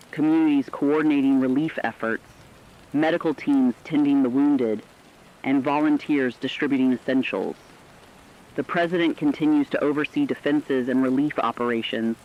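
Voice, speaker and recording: A man's voice: mid-range voice, close to an everyday voice. A man playing a muffled, earnest war correspondent. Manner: earnest, formal, measured. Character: earnest male radio correspondent reporting wartime devastation. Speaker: radio news correspondent. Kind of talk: scripted dramatic radio news report. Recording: dull lo-fi radio sound.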